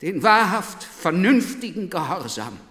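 Obsequious high-voiced professor. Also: male voice, very animated, unhurried, fairly bright tone, exaggerated voice. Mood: fervent, deferential. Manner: obsequious, stammering, pedantic, flowery phrasing. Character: servile, nervous philosophy professor pleading before a high court. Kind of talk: radio play acting. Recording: large hall with long reverb.

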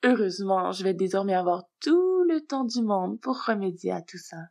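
A sly storybook schemer, a man of polite and coy manners, read by a woman. The delivery is measured, with dry teasing under the courtesy. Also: medium pitch, lively intonation, a little mellow, lightly acted. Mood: dryly sincere. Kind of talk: storybook character reading.

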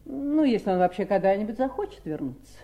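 A woman's voice: mid-range voice, big pitch swings, fairly quick, dark tone. An actress playing an ambitious, domineering, greedy society woman in a theatrical radio drama. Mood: cool, dismissive. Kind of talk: radio drama stage acting. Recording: 1970s radio play recording.

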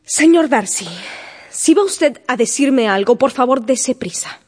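An actress playing a spirited, guarded young gentlewoman in a radio drama, polite but pointed and direct. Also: sits high, lively intonation, a little slow, no room sound, studio quality. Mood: cool, impatient.

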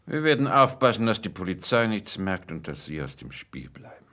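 An actor playing a sardonic gentleman crook and gang boss in a German radio drama, mock-polite and disdainful. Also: low-pitched, very animated, normal speed, heavily acted.

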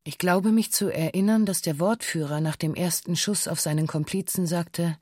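A woman playing a former hostage giving a formal, measured witness statement in a radio documentary. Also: on the low side, darkish tone, lightly acted. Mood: matter-of-fact. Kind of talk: scripted testimony in a radio documentary.

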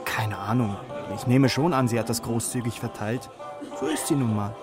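An actor playing a worried young male student in a radio crime play, talking in an uneasy, colloquial way with a husky voice. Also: medium pitch, steady tone, moderate pace, over music, lightly acted. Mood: subdued. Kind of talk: German radio play dialogue.

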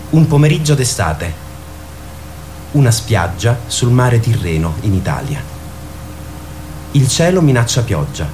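Male narrator for radio drama: low voice, scripted stage directions, noisy background.

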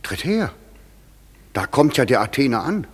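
An actor playing a commanding fairy king who sometimes speaks in a hush, in a classic German radio play. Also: medium pitch, big pitch swings, moderate pace, slightly rough. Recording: long reverb, faint clicks.

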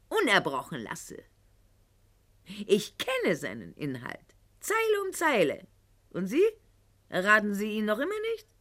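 Haughty noblewoman, slow and sweeping. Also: medium pitch, a bit bright. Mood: imperious. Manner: formal, haughty, measured, eloquent diction. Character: proud, calculating 18th-century noblewoman addressing her husband. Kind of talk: theatrical radio drama monologue.

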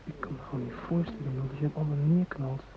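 A man's voice: on the high side, fairly even intonation, racing, natural voice. Chatty, uneasy man playing a hostage, noisy recording. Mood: uneasy, musing. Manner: colloquial, hesitant, musing. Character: chatty, uneasy male hostage who loves his dog. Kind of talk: naturalistic radio drama dialogue. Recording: noisy radio drama recording.

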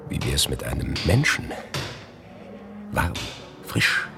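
Radio drama casting: furtive corpse seller, extremely low voice, swinging from clipped murmur to whisper, music behind. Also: male voice, big pitch swings, slow, heavily acted. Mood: sly, conspiratorial. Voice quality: gravelly, often dropping into breathy whispers. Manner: conspiratorial, clipped, coaxing. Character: furtive, sly male grave robber selling corpses.

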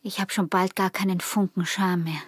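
Breathy, intense Austrian peasant wife. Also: female voice, on the low side, little pitch movement, normal speed, no echo, studio quality. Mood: conspiratorial, longing. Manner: hushed, intense, slipping into breathy whispers. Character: passionate, calculating young peasant wife urging murder. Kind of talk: German radio drama acting, intimate.

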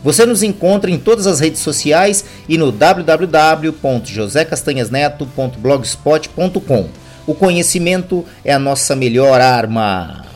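A man's voice: a little high, animated, normal speed, few pauses, faint music behind. A man with a Brazilian accent delivering a scripted promotional spot for a podcast.

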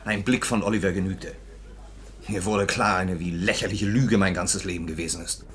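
A man's voice: sits low, steady tone, moderate pace, a bit bright. An actor playing an agitated, disillusioned young man, urgent and bitter, in a 1950s German radio drama. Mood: bitter, shaken. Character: agitated, disillusioned grown son facing bitter truth.

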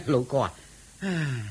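A man's voice: mid-range voice, slightly rough, exaggerated voice. A man playing a simple, good-natured traveller in a Cantonese radio drama, inquisitive and folksy, chuckling and exclaiming as he talks.

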